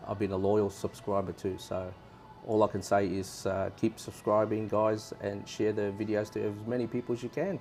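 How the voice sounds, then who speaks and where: A man's voice: fairly low pitch, flat delivery, moderate pace, gravelly, hardly any pauses, darkish tone, soft background music. A man from Australia, a guest in a casual interview, earnestly giving plain, repeated advice to travelers.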